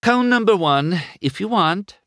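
A man's voice: high voice, animated, a little slow, fairly bright tone, no echo, clean studio sound, pitched above the performer's own voice, heavily acted. A man voicing a blunt, nonchalant young female car with a teasing temper in a children's storybook.

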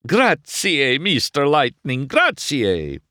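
A man voicing an excitable little Italian tire-shop car, male, with a gushing, sing-song Italian accent. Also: mid-range voice, takes it slowly, no echo, studio quality, voice pushed up, exaggerated voice. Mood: ecstatic. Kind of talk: storybook read-aloud.

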